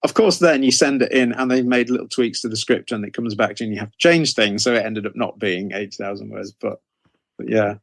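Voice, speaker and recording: A man's voice: lowish voice, fairly quick, vocal fry, no room sound. A middle-aged man with a British accent, telling anecdotes as an interview guest in a rambling, self-interrupting way.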